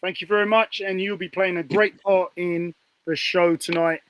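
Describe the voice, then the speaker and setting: Man hosting, higher-pitched voice. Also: fairly even intonation, normal speed, fairly bright tone, dry recording. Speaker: presenter and host. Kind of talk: panel talk show hosting, chatty.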